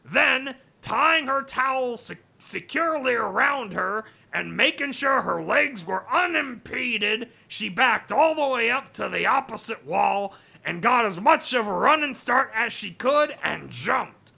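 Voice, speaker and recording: A man putting on a raspy, strained, grating comic narrator voice to read a story in a mock-dramatic way. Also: very high voice, lively intonation, measured pace, few pauses, sharp tone, full character voice. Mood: strained, exaggerated. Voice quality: raspy, gravelly. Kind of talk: mock-dramatic reading of fan fiction.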